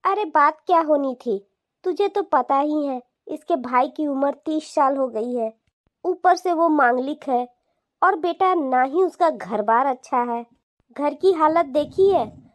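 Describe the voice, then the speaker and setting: A woman's voice: sits high, expressive, slow pace. A woman voicing a scornful, bossy middle-aged mother-in-law with a mocking, dismissive way of talking in a cartoon family drama. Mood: dismissive gossip. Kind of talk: animated family drama dialogue.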